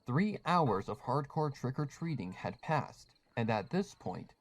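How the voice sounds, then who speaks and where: A man's voice: mid-range voice, big pitch swings, a little slow. Man narrating a story. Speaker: fan fiction narrator.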